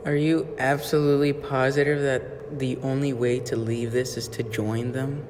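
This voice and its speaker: A man's voice: medium pitch, level tone, normal speed, darkish tone, lightly acted. A young man playing a frightened, desperate prisoner of a cult in an amateur radio drama. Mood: desperate, pleading. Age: young adult. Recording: noisy hall with long reverb.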